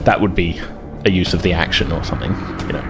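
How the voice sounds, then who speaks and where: A man speaking as game master, narrating a tabletop role-playing game in a halting, conversational way. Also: sits low, creaky.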